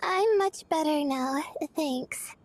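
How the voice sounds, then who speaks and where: A woman voicing a drowsy, gentle young girl in a video game, speaking hesitantly. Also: high-pitched, slow, slight background noise. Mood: weary.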